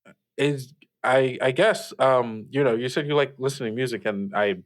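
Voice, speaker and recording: A man's voice: medium pitch, normal speed, natural voice. A man playing an awkward, eager teenage boy hosting a late-night radio call-in show in an improvised audio drama, stammering, polite and easily flustered.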